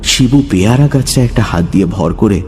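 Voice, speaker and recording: A man's voice: on the low side, expressive, a little fast, darkish tone, a little hiss or hum. A man giving a dramatic, scripted narration of a horror story. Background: background music.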